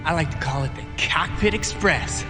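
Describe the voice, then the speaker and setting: An actor playing an eager, chummy, slightly fussy mechanic in a video game. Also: male voice, fairly high pitch, moderate pace, in a large hall, music in the background, lightly acted. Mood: enthusiastic. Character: chatty, eager rig mechanic, a man. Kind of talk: video game cutscene acting.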